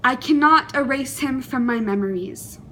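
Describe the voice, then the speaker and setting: High-voiced young woman performing a dramatic monologue. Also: sharp tone, a little hiss or hum. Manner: earnest, deliberate, emotive delivery with pauses.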